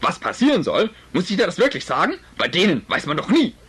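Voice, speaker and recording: A man voicing a gruff, surly middle-aged father in an audiobook, blunt and irritable, barking his words. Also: high-pitched, huge pitch swings, fast talker, a bit bright, pitched above the performer's own voice, exaggerated voice. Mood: angry.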